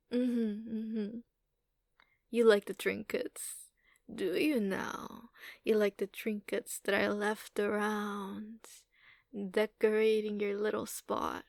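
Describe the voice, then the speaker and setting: A woman voicing a giant dragon mother in an ASMR roleplay, doting, teasing and softly dominant, cooing and repeating herself with murmured hums, sometimes in whispers. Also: a little high, gravelly, no echo, clean studio sound. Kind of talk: monster girl ASMR roleplay. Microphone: close microphone.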